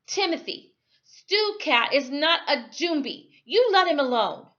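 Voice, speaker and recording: Lady reading as a young boy, defiant n scolding, storybook dialogue, pitch jumps around, muffled lofi audio. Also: expressive, measured pace, a bit bright. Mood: indignant.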